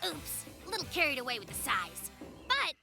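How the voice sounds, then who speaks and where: An actor voicing a boastful, exuberant young bear cub who is eager to help, in an animated children's cartoon with music behind. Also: high voice, moderate pace, creaky, heavily acted. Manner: cocky, exuberant, showy.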